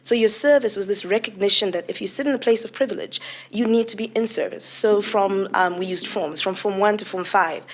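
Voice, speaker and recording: A woman's voice: medium pitch, fairly quick. A woman guest in an interview, speaking reflectively about her upbringing and often restarting sentences. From Tanzania. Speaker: guest, co-founder. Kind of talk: interview conversation.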